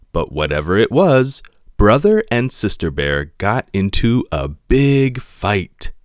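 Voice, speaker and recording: A man reading a children's picture book aloud in an expressive narrating style. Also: low-pitched, dry recording, clean studio sound. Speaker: storybook reader.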